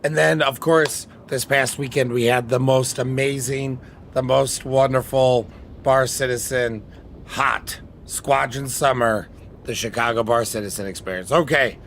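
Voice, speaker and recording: A man's voice, hoarse and raspy from recovery, chats loosely to a live audience. He rambles from one aside to the next in an easygoing streamer manner. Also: medium pitch, steady tone, normal speed, a bit bright, a little hiss or hum. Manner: theatrical greeting, then rambling chatty asides. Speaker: streamer host. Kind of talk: casual live-stream chat.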